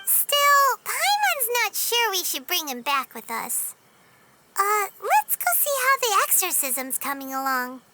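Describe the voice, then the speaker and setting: A woman's voice: very high voice, huge pitch swings, measured pace, full character voice. A woman voicing a small, chirpy, curious fairy companion in a video game, chatty and childlike. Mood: doubtful. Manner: refers to self in third person, chatty. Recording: loud game music behind.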